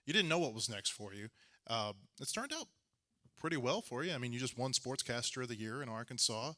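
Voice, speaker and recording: A man hosts an interview in a casual, conversational style. His voice is bright and forceful at an average male pitch, and he slips informally into anecdotes between questions. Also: expressive, brisk. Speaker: host and sports journalist interviewing a guest.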